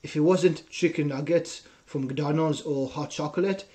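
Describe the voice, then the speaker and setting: Young Israeli guy on a headset, warm low tone, earnest storyteller. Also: moderate pace. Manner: earnest, storytelling with frequent "right?" tags. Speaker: guest, personal trainer. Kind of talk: casual interview talk. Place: small room at home.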